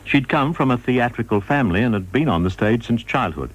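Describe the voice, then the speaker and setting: A man's voice: medium pitch, expressive, a little fast, breathy edge, rough recording. An Australian man narrating a scripted radio documentary in the role of presenter.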